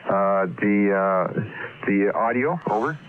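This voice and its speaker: A man working as an amateur radio operator, talking unscripted and haltingly, searching for words over a shortwave radio contact. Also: on the low side. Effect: heard over shortwave radio. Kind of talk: unscripted ham radio conversation. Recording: narrow tinny amateur radio transmission.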